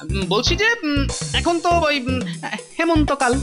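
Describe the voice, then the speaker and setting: A high, very lively cartoon jester speaks over loud music. The figure is clever and sly, explaining things coaxingly, with teasing hesitations. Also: measured pace. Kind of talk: animated cartoon dialogue.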